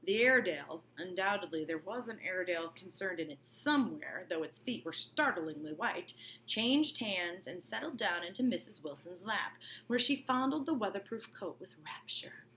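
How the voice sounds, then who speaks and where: A female teacher reads a novel with a lively, swooping delivery and a bright tone. She drops in informal explanations as she goes, with clear room noise in the background. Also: lowish voice, moderate pace, poor audio. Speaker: teacher reading aloud. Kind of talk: expressive novel read-aloud with explanatory asides.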